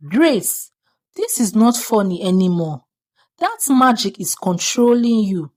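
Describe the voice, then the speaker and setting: An earnest schoolgirl, played by a woman, pleads loyally out of worry. Her delivery is unhurried and rises and falls a lot, as in a storybook reading. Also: medium pitch, sing-song. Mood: stern, worried.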